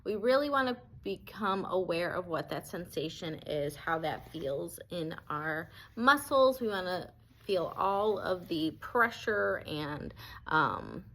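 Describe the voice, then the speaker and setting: A woman leading a guided body scan meditation as an instructor, speaking in a gentle, reassuring way that gives permission. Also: fairly low pitch, lively intonation, slow, a little hiss or hum.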